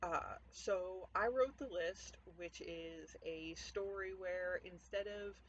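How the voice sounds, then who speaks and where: A woman's voice: on the low side, slow. A woman author speaking informally as she presents her own fiction from home. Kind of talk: casual introduction to a home story reading. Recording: dull lo-fi audio, noticeable background noise.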